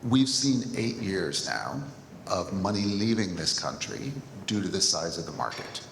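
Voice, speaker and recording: Deep-voiced man asking in an echoey hall. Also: very animated, unhurried, gravelly, fairly bright tone. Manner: direct, builds an argument. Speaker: audience member asking a question. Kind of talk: audience question. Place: large lecture hall. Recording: echoey hall with faint noise.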